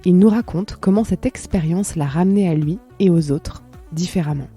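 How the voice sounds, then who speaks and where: Mellow low-voiced woman podcast host. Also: moderate pace, slightly rough. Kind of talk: scripted narration and interview questions. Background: soft music.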